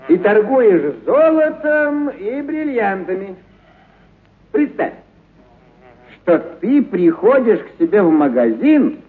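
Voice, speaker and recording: An actor playing a kindly, eccentric animal trainer who exclaims, coaxes and repeats commands in a theatrical radio play. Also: male voice, very high-pitched, heavily acted. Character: kindly eccentric animal trainer, bossy yet affectionate with his beasts. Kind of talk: theatrical radio story acting. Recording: muffled narrow-band radio recording.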